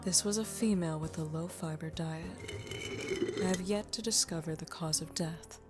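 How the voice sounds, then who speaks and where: A woman playing a blunt, deadpan, scholarly skull collector in a comedic audio drama. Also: sits low, normal speed, over loud music, natural voice. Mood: matter-of-fact. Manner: deadpan, terse, matter-of-fact.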